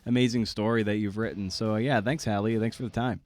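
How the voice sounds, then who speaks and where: A man's voice: on the low side, fairly even intonation, fast talker, darkish tone. A North American man hosting a casual podcast interview, informal and a little rambling, repeating words as he goes. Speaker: podcast host.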